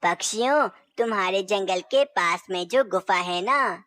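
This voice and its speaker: A woman voicing a bossy elder male owl in a cartoon story, brisk and commanding. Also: mid-range voice, a bit bright, no room sound, lightly acted.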